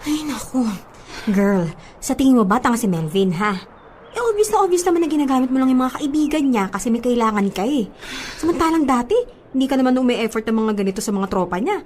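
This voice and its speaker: An actress playing a sassy, skeptical young best friend in a Filipino radio drama, chiding and protective. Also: female voice, high-pitched, sing-song, fairly quick, darkish tone, a little hiss or hum. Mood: scolding.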